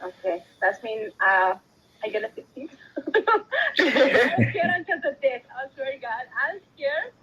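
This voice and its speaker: A woman from Sudan whose first language is Arabic, asking for advice in a casual live audio chat, halting and hesitant with many fillers. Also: high voice, sing-song, pushed and bright, lo-fi sound. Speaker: caller, new coding student.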